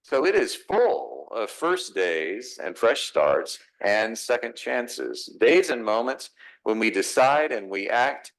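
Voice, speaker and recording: A man's voice: medium pitch, big pitch swings, slow pace, gravelly, a bit bright, poor audio. A middle-aged man from Texas with a Texas accent, preaching a reflective, conversational sermon in a church, asking his listeners questions. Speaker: pastor. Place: church sanctuary.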